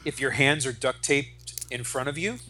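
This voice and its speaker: A man in his forties chatting casually and profanely, retelling stories in an animated way as on a podcast. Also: mid-range voice, normal speed, slight background noise. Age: 40s. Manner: animated retelling with acted-out quotes. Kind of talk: casual, profane podcast chat about comics.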